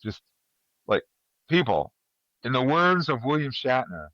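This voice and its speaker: A man hosting a casual panel chat, talking in a halting, opinionated, conversational way. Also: mid-range voice, sing-song, fairly bright tone, no echo, highs cut off. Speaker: podcast host.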